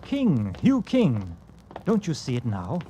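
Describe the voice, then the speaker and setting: An actor playing a smooth, controlling man in an old-time radio murder mystery. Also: mid-range voice, huge pitch swings, slow, mellow. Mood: explaining intently. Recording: noisy old radio recording.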